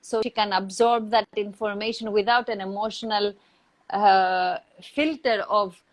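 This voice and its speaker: A woman who is not a native English speaker, giving reflective advice in a thoughtful, measured way with deliberate pauses. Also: mid-range voice, steady tone, low-quality recording. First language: not English or Hindi. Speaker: acting teacher, interview guest. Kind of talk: reflective advice in a live online Q&A.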